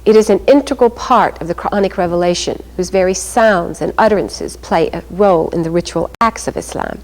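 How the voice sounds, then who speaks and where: A woman with a fairly low voice narrates a documentary script. Her delivery is formal and even. She reads steadily, like a program narrator. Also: a little fast, low-quality recording.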